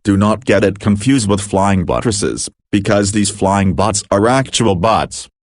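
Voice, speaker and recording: A man's voice: on the low side, animated, a little slow, no room sound, studio quality. A man narrating a scripted mock emergency broadcast as an announcer, matter-of-fact with casual asides.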